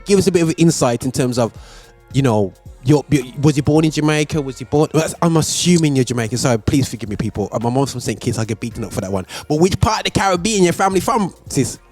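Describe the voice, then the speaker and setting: Animated chatty male radio host. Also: a little high, quick pace, faint music behind. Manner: animated, chatty, many fillers like "man" and "sis". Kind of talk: casual radio interview.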